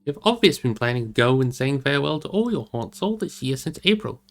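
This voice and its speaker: A man acts out a cheerful young male hobbit from a storybook, pitched a little above his plain talk. He is frank and eager, teasing his friends bluntly, with music playing behind him. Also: sing-song, fast.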